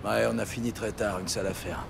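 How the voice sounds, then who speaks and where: An actor playing a worried, downbeat police partner in a French audio drama, terse and uneasy. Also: male voice, fairly low pitch, steady tone, quick pace, gravelly. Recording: noisy street and car ambience.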